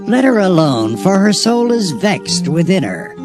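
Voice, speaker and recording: A man's voice: high voice, big pitch swings, measured pace, voice pushed up. A man voicing a stern, commanding old male prophet in a dramatized scripture reading, with music underneath. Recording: music bed behind voice.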